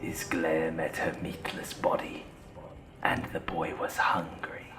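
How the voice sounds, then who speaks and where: A man narrating a fantasy drama in a grave, deliberate manner with a breathy, gravelly voice. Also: rumbling low, completely flat delivery, in a whisper, music in the background.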